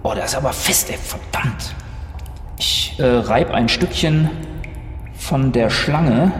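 Animated man role-playing in an echoey space. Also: medium pitch, slow pace. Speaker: role-playing game player. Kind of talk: improvised in-character tabletop play. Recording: echoey, reverberant, faint background noise.

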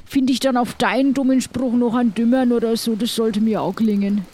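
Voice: medium pitch, steady tone, fairly quick, darkish tone. A player, improvising banter as a joking seafaring companion. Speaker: player in an audio role-play. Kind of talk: improvised role-play banter.

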